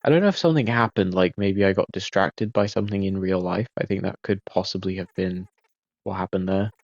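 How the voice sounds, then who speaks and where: A man giving relaxed post-game commentary over his gameplay, laid-back and self-critical, with frequent ums and uhs. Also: low voice, a little fast, no echo, highs cut off. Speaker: gaming video creator.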